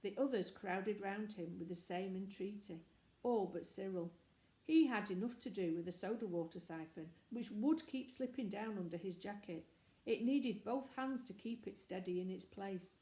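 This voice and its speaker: A woman's voice: medium pitch, normal speed, mellow. A woman reading a children's story aloud as scripted narration. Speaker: storybook reader. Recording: echoey, reverberant room.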